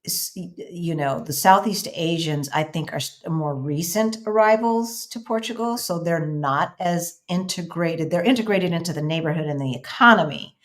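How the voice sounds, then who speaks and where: Chatty woman host, low voice. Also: normal speed, hardly any pauses. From United States. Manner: thoughtful, repeats herself, many fillers and restarts; addresses viewers directly. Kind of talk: casual interview chat.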